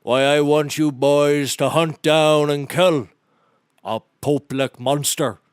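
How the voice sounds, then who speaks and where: Male actor as eccentric monster-hunting oddball: higher-pitched, rambling, folksy, close dry recording over light music. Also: steady tone, slow pace, smooth, dry recording. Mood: conspiratorial, dramatic. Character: eccentric odd-jobbing man pitching a monster hunt. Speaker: actor in scripted comedy series. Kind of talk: comedic audio drama acting.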